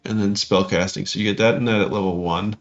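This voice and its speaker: Male podcast co-host, low register, casual and halting rules talk, muffled recording with music underneath. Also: steady tone. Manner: halting, thinking aloud while reading. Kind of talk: casual discussion of tabletop game rules. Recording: dull lo-fi audio, music behind.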